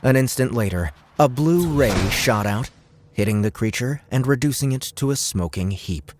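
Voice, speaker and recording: A man's voice: mid-range voice, lively intonation, normal speed, background noise. A man reading dramatic scripted narration as an audiobook narrator.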